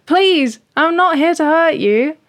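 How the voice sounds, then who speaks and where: Small, nervy male cat, performed by a woman, high and panicky, an earnest worrier in an improvised bedtime story. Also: sing-song, moderate pace, creaky. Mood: frightened, pleading. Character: anxious, easily frightened little male cat.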